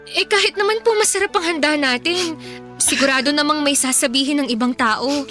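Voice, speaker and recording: High-voiced earnest Filipino teenage daughter. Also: female voice, brisk, sharp tone, faint music behind. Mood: reasoning. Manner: earnest, soothing, respectful. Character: gentle, sensible teenage daughter reassuring her mother. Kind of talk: Filipino radio drama acting.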